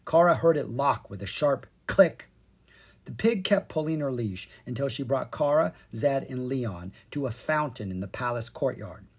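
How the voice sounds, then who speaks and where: This is a storyteller reading a children's book aloud. The delivery is expressive and full of lively pitch movement. The voice has a slightly husky grain. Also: normal speed. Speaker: storyteller, podcast reader. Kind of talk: scripted narration of a children's storybook.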